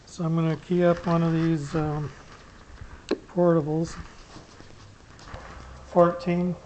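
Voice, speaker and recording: Casual hobbyist rambling over clear background noise. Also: medium pitch, flat delivery, takes it slowly, darkish tone, poor audio. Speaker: hobbyist demonstrating a radio setup. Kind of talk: casual unscripted gear demo.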